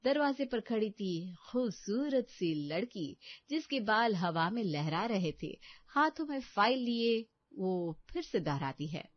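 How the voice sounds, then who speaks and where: A woman's voice: medium pitch, big pitch swings, slow pace, dry recording, highs cut off. A woman narrating a scripted dramatic story as a storyteller.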